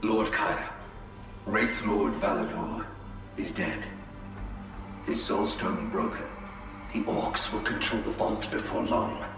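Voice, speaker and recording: An actor playing a calm, scheming Eldar adviser who gives measured reports in a game cutscene. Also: male voice, low-pitched. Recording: reverberant, distant, music behind.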